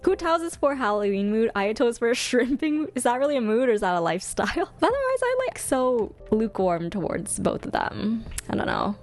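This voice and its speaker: A woman's voice: on the high side, big pitch swings, fairly quick, hardly any pauses, no echo, faint music behind. A woman giving casual, chatty reaction commentary as host, with a playful, exclamatory manner. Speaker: host ranking game trailers.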